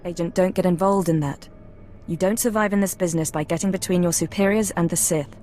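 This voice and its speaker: A woman playing an aloof, guarded intelligence handler in a sci-fi video game, crisp and businesslike. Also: on the low side, steady tone, brisk, mellow, a little hiss or hum, lightly acted. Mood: composed, guarded. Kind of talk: scripted video game dialogue.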